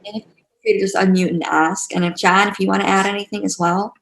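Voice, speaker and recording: A woman teaching a spiritual class online in a casual, gentle and encouraging way, repeating phrases for emphasis, with a creaky voice. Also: medium pitch, flat delivery, quick pace, rough recording. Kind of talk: casual guided teaching to a live online group.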